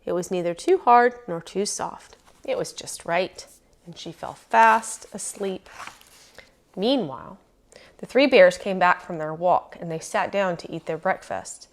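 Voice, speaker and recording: A woman reading a children's storybook aloud as storyteller, expressive and emphatic, lingering on phrases. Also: fairly low pitch, unhurried.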